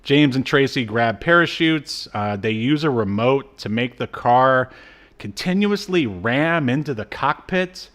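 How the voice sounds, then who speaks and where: A man's voice: mid-range voice, lively intonation, measured pace, fairly bright tone. Casual man recapping with incredulous asides. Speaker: podcast co-host. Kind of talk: casual comic episode recap.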